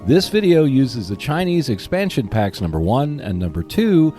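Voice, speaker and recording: Man with lively pitch reading podcast announcements. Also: normal speed, soft background music. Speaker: podcast host. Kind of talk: scripted announcements for a hobby gaming podcast.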